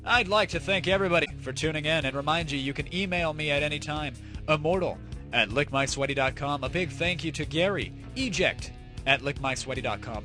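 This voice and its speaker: A man hosting a comedy audio show, talking casually to listeners in a teasing, mock-formal way. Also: mid-range voice. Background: background music.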